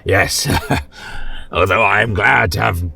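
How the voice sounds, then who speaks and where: A man's voice: lowish voice, takes it slowly, creaky, pushed and bright, exaggerated voice. A man playing an old, fleshless undead man with a wry, teasing manner in an audio fantasy tale. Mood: wry amusement.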